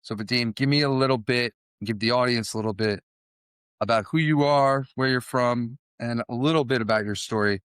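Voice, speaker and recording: A man's voice: mid-range voice, a little fast, a bit bright, clean tone, no echo. A middle-aged man hosting a podcast in a conversational interview style. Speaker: podcast host.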